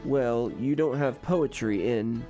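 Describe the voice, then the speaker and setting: Mellow, genteel college gentleman, played by a man. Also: mid-range voice, soft background music, lightly acted. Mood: gently philosophical. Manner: genteel, measured, formal wording. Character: genial, erudite college gentleman, gently philosophical husband. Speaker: student radio drama actor. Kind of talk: comedic radio drama acting.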